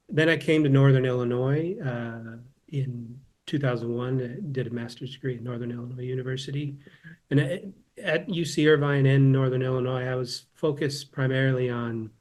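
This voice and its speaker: A man's voice: mid-range voice, a little mellow. A man in his fifties from Southern California, a philosophy professor speaking as a guest in a casual interview, thoughtful and often repeating words as he restarts.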